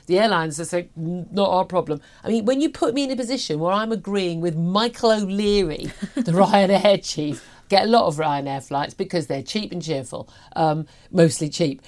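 A woman's voice: fairly low pitch, moderate pace, hardly any pauses, darkish tone. A British woman in her fifties talking through the news as a talk show host, chatty, emphatic and opinionated. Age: 50s.

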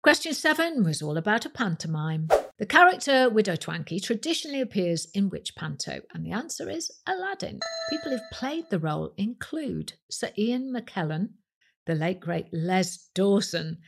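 A British woman hosts a quiz podcast in a husky, creaky voice. Her pitch sits a little low but swings widely as she reads out questions and reveals answers. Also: big pitch swings, normal speed. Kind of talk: scripted quiz narration addressed to listeners.

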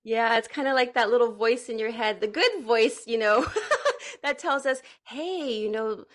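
A woman's voice: a little high, a little slow, a bit bright. A woman hosting an interview, affirming her guest in a conversational way. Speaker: interviewer, host.

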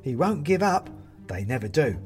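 A man's voice: mid-range voice, sing-song, normal speed, music in the background, voice pushed up, lightly acted. A man plays a long-dead Londoner, a plucky storybook ghost. He calls out cheerily in colloquial speech, his voice pushed up and full of bounce. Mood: cheery. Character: cheery, bold male ghost of an old Londoner. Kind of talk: audiobook character voice.

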